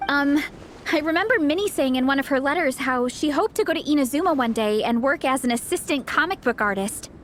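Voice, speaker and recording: A woman voicing a sweet, supportive young athlete in a video game, gentle, sincere and encouraging. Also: high-pitched, lively intonation, fairly quick, a little hiss or hum. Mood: concerned. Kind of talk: scripted video game voice acting.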